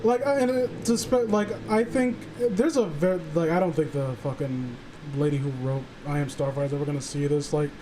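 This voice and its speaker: A man chatting casually on a stream, halting and rambling as he earnestly works through his thoughts. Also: on the high side. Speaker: stream participant. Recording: faint background noise.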